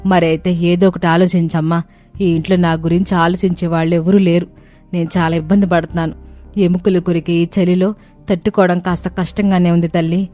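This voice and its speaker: An elderly mother-in-law played by a woman from a cartoon story, meek and motherly. She pleads gently in a narrow, even pitch, with music behind her. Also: brisk, natural voice. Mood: pleading.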